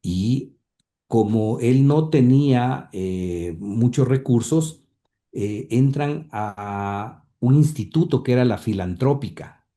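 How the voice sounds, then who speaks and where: A man's voice: medium pitch, animated, slow, warm tone. A middle-aged man from Ecuador, recounting his family's history in an interview, hesitant with many fillers and restarts. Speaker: interview guest, grandson of the subject.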